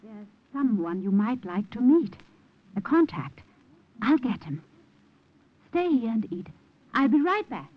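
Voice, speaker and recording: Guarded high-voiced woman, muffled radio drama. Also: big pitch swings, a little slow, long pauses, a little hiss or hum. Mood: subdued, hospitable. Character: guarded, nervous young Austrian woman sheltering a stranger. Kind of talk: 1950s radio drama acting. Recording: muffled old radio broadcast.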